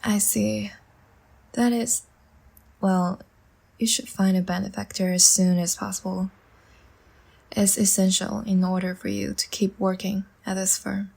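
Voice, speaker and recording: Slow, breathy, seductive vampire woman played by an actress. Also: mid-range voice, little pitch movement. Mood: measured, persuasive. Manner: soft, coaxing, slow and teasing, often breathy near-whisper. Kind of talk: intimate roleplay audio drama.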